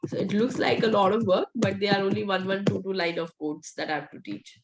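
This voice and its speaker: A woman's voice: medium pitch, steady tone, fairly quick, vocal fry, noisy background. A woman trainer, teaching a live coding class with her voice almost gone, direct and coaching. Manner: direct, coaching, laughs often. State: voice nearly gone, hoarse. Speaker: VBA trainer. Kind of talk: live online class, teaching and answering questions.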